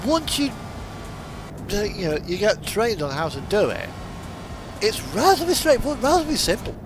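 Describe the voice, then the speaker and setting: A man's voice: high voice, sing-song, fairly quick, background noise. A British man chatting casually and rambling to himself as he plays a driving game, swearing now and then. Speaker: gaming streamer. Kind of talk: casual chat while playing a truck driving simulator. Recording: music playing behind the voice. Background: radio music and truck sounds from the game.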